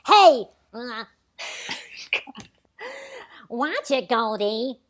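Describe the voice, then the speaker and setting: Gruff 1950s counterman played by a woman, impatient, muttering, pitched down, unhurried comic read. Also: sing-song, heavily acted. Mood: dismissive. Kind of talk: comedic impression at a table read.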